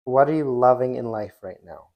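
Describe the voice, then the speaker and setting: A man interviewing an artist, asking short rapid-fire questions off camera. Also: medium pitch, darkish tone. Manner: brisk, brief questioning.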